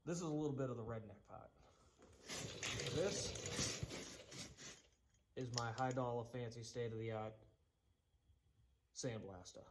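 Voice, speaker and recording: A man's voice: mid-range voice, a little slow, pauses often, a little mellow, a little hiss or hum. A man with a Boston accent giving a casual, chatty how-to demonstration in his home workshop, with loose folksy asides. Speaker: DIY woodworking channel host.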